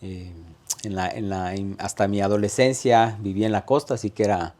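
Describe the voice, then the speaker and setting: A man with an Ecuadorian accent in his thirties, a guest telling his life story in an interview, pausing often on fillers and restarting phrases. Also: on the low side, fairly even intonation, darkish tone. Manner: hesitant, many "eh" fillers and restarts. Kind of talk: conversational interview.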